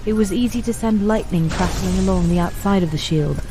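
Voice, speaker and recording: A woman's voice: mid-range voice, a little fast, over music, rough recording. A woman reading scripted dramatic story narration as the narrator of an audio drama.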